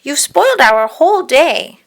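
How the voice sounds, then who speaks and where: Woman voicing an excitable, emphatic storybook tiger, pitched up. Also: unhurried, full character voice. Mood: accusing.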